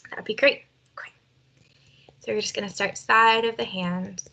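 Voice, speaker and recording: A woman with a bright, gravelly voice gently guiding a tapping exercise. She speaks encouragingly, giving short phrases for others to repeat. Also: medium pitch, fairly even intonation, moderate pace, poor audio. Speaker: tapping session leader. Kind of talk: guided emotional freedom technique session.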